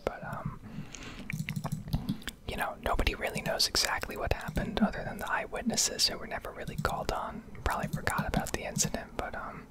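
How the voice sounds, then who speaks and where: Young adult American woman, fully whispered ASMR streamer, casual and reflective delivery, close-miked. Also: rough recording. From United States. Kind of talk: whispered ASMR rambling over gameplay.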